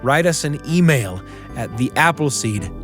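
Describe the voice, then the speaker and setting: A man hosting a family radio show, telling personal stories in a reflective way with thoughtful pauses. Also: mid-range voice, animated, fairly bright tone, soft background music. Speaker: radio show host and storyteller.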